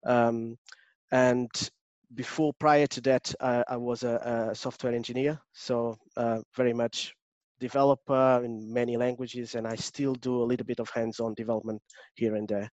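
A man from Portugal with a strong Portuguese accent presents an online session as an agile coach. His delivery is courteous and halting, with many fillers. The sound is dull and dry, as over a video call. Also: medium pitch, little pitch movement, a little mellow, no echo. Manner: hesitant, many fillers, polite. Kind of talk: remote workshop presentation. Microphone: computer mic on video call.

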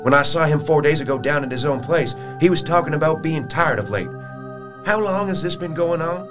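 An actor playing an earnest, blunt young suitor with a folksy, emphatic way of talking in a gothic audio drama. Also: male voice, a little high, lively intonation, brisk, lightly acted. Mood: anxious, earnest. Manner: plain-spoken, folksy, emphatic. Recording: music playing behind. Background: music.

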